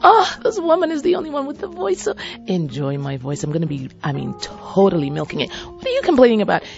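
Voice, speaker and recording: A woman hosting a radio talk show in a husky voice, chatty and playful, talking straight to her listeners. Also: mid-range voice, darkish tone, lo-fi sound. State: husky voice from a seasonal cold. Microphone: studio microphone.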